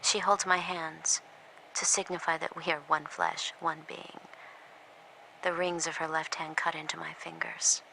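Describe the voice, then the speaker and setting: A woman's voice: low-pitched, little pitch movement, measured pace, creaky, sharp tone, slight background noise, heavily acted, rough recording. A woman in an audio drama playing a subdued, observant handmaid, narrating in an intimate, restrained way. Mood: detached.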